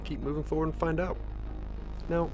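A man's voice: on the high side, little pitch movement, normal speed, over music, rough recording. A man narrating a scripted second-person audio drama in a theatrical, ominous storytelling manner.

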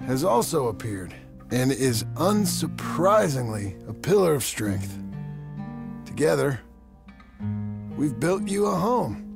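An actor playing a gruff, earnest American outlaw turned rancher in a Western game, with a gravelly, plain-spoken drawl. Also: male voice, medium pitch, exaggerated voice. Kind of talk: cinematic video game acting. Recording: music behind the voice.

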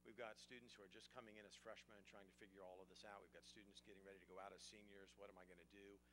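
A man's voice: fairly low pitch, steady tone, racing. A man hosting a casual panel discussion on a chapel stage, putting questions to the guests. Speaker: host, panel moderator. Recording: noisy live stage, audible hum.

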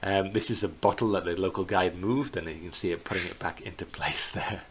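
A middle-aged man giving an unscripted slideshow narration as a tour guide, in a hesitant, halting way, recorded indoors on a computer microphone. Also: sits low, steady tone. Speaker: bird tour guide presenting a virtual tour. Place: indoors in a small plain room. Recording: clear background noise.

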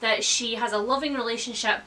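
A woman in her thirties giving an informal, animated book review, chatting indoors. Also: a little high, fairly quick. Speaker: book vlogger. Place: indoor room with bookshelves.

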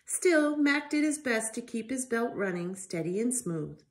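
A middle-aged woman reading a children's storybook aloud in an expressive, emphatic storytelling style. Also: a little high, measured pace. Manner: animated, emphatic delivery with sound effects. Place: living room by a fireplace, indoors.

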